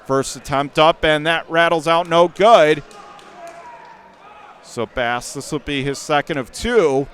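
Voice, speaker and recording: High-pitched play-by-play man. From Western New York. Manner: rapid calls that jump to shouts on big plays. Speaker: radio play-by-play announcer. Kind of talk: high school basketball radio commentary. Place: high school gym.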